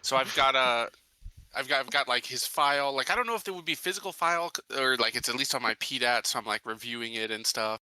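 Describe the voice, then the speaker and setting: A male game player with a very bright, forward voice. He talks casually and plainly, describing what his character does and says. The recording is close and dry. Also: medium pitch, quick pace, clean tone, no echo, poor audio.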